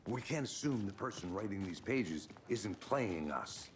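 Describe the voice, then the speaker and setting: A man's voice: fairly low pitch, lively intonation, unhurried, background noise. A man playing a wry, skeptical federal agent in a horror game, with a dry way of talking. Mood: brisk, businesslike. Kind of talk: cinematic game dialogue.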